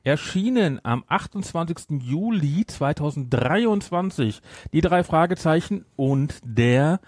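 A man's voice: a little high, lively intonation, a little slow, a little mellow, no echo, studio quality. A man hosting a podcast in a casual, rambling chat, often interrupting himself. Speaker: podcast host.

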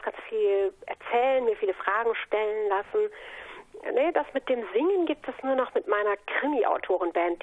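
A woman from Germany chatting casually as an interview guest over a remote line. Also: mid-range voice. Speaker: interview guest, author and singer. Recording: muffled phone-like radio interview line.

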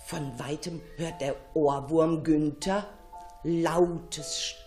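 Slow-paced woman storyteller over music. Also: low-pitched, steady tone, mellow. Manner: dramatic, suspenseful delivery. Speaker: storyteller, radio show narrator. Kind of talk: expressive children's story narration.

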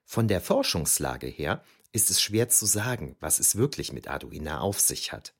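A man's voice: low voice, sing-song, normal speed, creaky, a bit bright, slightly rough, full character voice. A man playing a reflective ancient historian who narrates memories of youth in a scripted, wryly explanatory way for an audio drama. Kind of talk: scripted first-person narration.

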